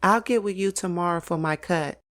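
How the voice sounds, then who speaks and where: A woman's voice: lowish voice, moderate pace, fairly bright tone, natural voice. A woman playing a sassy, scheming girlfriend in an audio drama, with casual, teasing slang. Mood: businesslike.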